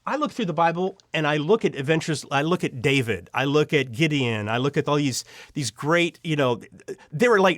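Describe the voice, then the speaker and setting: A man's voice: a little high, no echo, studio quality. A man speaking as an interview guest, sharing personal testimony casually and earnestly, with emphatic repetition and frequent restarted sentences.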